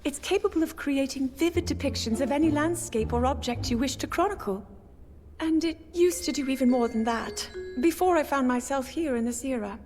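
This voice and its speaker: A woman's voice: high voice, a little fast, natural voice. A woman playing an earnest, curious young princess in a video game, speaking politely and formally with eagerness. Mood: curious, eager. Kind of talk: video game cutscene acting. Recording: loud orchestral music behind. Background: music.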